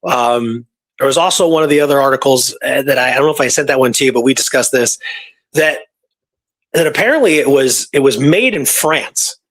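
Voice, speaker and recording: A man's voice: medium pitch, expressive, brisk, fairly bright tone. A man talking casually as a history researcher, sharing findings in an informal storytelling manner. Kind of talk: casual conversational history discussion.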